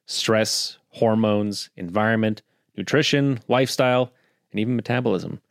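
A man reading scripted advertising copy crisply. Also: lowish voice, normal speed, no room sound, clean studio sound. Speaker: ad voice-over.

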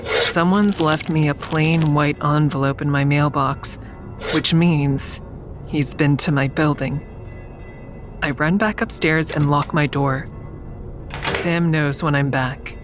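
A woman's voice: low voice, slow pace, over music. An actress in an audio drama playing an exhausted, defiant woman who tells of her ordeal in a grim, blunt way. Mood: tense, bleak. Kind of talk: first-person dramatic narration in audio drama.

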